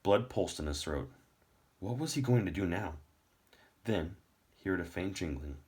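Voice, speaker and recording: A man with a low-pitched voice reads a novel aloud as its narrator. The delivery is storybook narration, told in a deep register. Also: animated, moderate pace, darkish tone.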